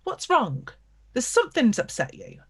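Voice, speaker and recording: A woman storyteller voicing a shrewd, kindly village shopkeeper who talks briskly and teasingly. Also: on the high side, huge pitch swings, slightly rough, voice pushed up, full character voice.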